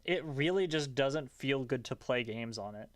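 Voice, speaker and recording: A young man streaming a game, chatting casually with a calm, relaxing voice, rambling loosely and swearing often. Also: medium pitch, moderate pace. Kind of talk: casual gaming stream chat.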